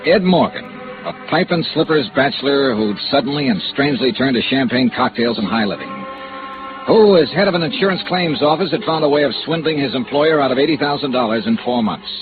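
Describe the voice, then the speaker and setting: An American actor playing a hard-boiled, impatient investigator in a radio noir drama, with a clipped, dry, sardonic delivery. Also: male voice, medium pitch, animated, fairly quick, music in the background, natural voice. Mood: dry, businesslike. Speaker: lead actor and narrator. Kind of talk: 1950s American radio noir acting and narration. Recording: tinny vintage radio recording.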